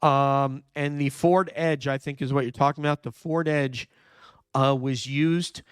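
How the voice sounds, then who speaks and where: A hoarse man, nearly losing his voice, hosting a true crime livestream, talking emphatically and answering viewer chat. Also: medium pitch, steady tone, a little slow, no room sound, clean studio sound. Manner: emphatic, repeats "no question", thinks aloud with fillers. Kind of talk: live commentary reading viewer chat.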